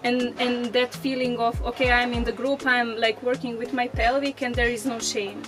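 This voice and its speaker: A woman's voice: on the high side, little pitch movement, normal speed, music in the background. A woman from Serbia, a workshop participant, talking reflectively in a group circle and searching for words with many fillers.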